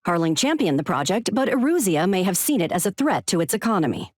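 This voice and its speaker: An actress playing a grave, composed TV news anchor, reading breaking news in a formal, measured, urgent manner. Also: female voice, fairly low pitch, very animated, no room sound, clean studio sound.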